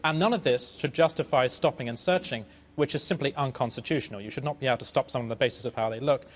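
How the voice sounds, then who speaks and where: A man's voice: medium pitch, fast, long reverb tail. A man arguing his position assertively as a panelist in a studio debate. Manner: assertive, argumentative.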